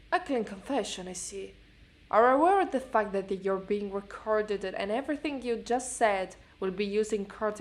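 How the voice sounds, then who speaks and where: A woman's voice: medium pitch, close to an everyday voice. A woman with an Italian accent playing a menacing, sadistic policewoman in an ASMR roleplay, taunting a suspect and slipping into whispers. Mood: cold, official. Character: menacing, sadistic, vengeful policewoman interrogating a killer. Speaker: ASMR voice actress.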